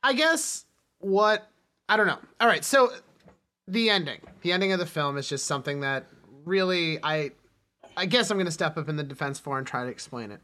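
A man co-hosting a casual film discussion, emphatic but often halting as he searches for words. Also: fairly high pitch, lively intonation, bright, forceful tone. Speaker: podcast co-host.

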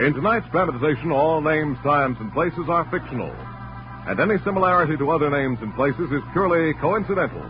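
A man announcing in a polished, emphatic 1940s radio style, reading scripted narration and advertising. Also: a little high. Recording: muffled old radio recording, music behind.

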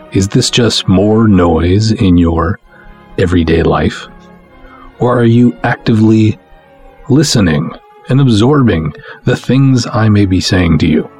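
A man hosting a podcast, addressing the listener directly in a deliberate, emphatic monologue with dramatic pauses. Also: fairly low pitch, a little mellow. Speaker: podcast host.

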